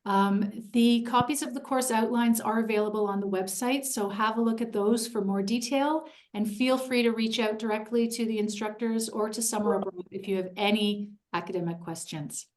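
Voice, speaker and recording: A woman presenting an info session in a clear, organized, upbeat way. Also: a little high, steady tone, normal speed, hardly any pauses, a little mellow.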